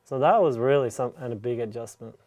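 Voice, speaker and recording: A man in his forties from Norway, telling stories in a casual conversation with a relaxed, rambling manner. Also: mid-range voice, a little fast, no echo. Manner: relaxed, rambling storytelling with many "you know" asides. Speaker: interviewee.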